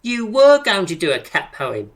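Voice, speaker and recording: An actress playing the brisk, pretentious leader of a poetry circle in a radio drama, grandly articulate and theatrical. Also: female voice, medium pitch, very animated, natural voice. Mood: brisk, chiding.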